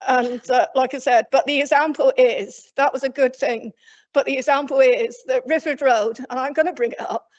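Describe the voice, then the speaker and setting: Loud, plain-spoken woman from Cornwall. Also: high voice. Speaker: public speaker at council committee. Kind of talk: unscripted address from memory. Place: council committee room. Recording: dull lo-fi council meeting recording.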